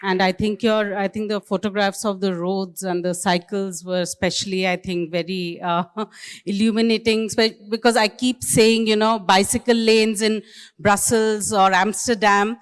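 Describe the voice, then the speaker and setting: Smooth-voiced woman moderating a panel. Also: medium pitch, fairly even intonation, a little slow. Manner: hesitant, many fillers. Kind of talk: conference moderation. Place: conference hall. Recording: reverberant conference hall.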